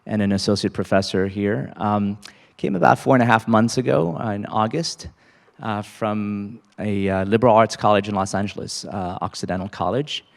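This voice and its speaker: A man in his forties from Cambodia, an associate dean giving a casual, halting talk to students with many fillers. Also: lowish voice, creaky, in a large hall. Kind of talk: faculty panel talk to students.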